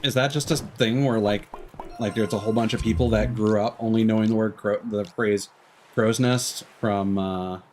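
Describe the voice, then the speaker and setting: Male game streamer, lowish pitch, casual and musing, thoughts trail off, game music underneath. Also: fairly even intonation, normal speed, darkish tone. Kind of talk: casual live gameplay commentary.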